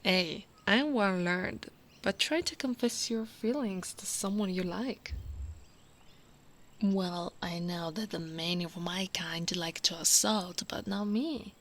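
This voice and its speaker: An Italian woman playing a tall, devoted female orc royal guard in an ASMR roleplay, speaking softly and intimately as if to the one listener she loves. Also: fairly low pitch, heavily acted. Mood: soft, confiding. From Italy. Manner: slow, intimate, often dropping to a whisper.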